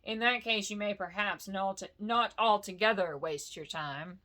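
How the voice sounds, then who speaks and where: Old wily storybook dragon, male, booming and gloating, bright edge, read by a woman. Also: measured pace. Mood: mocking. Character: huge ancient boastful male dragon, wicked and wily.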